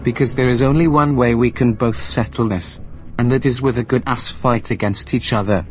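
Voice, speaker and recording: A man's voice: medium pitch, moderate pace, lightly acted, rough recording. A man voicing an arrogant, foul-mouthed evil villain who taunts and curses, in an amateur voice drama, with music behind. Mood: threatening. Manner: rude, cursing, taunting.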